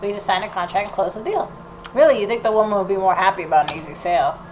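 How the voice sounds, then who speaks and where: A woman hosting a gaming video, reading the story narration aloud and adding expressive reactions of her own. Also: medium pitch, rapid-fire. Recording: noticeable background noise.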